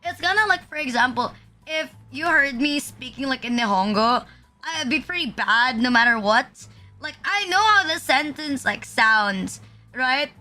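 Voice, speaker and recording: A woman's voice: high voice, animated, a little slow, bright, forceful tone, soft background music. A young woman from the Philippines chatting casually on a livestream, rambling with constant fillers and frequent swearing. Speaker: VTuber streamer.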